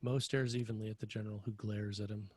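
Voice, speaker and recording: Male table-read narrator: deeper, husky, dry sound, scripted delivery of stage directions. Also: little pitch movement, quick pace, a little mellow, no room sound.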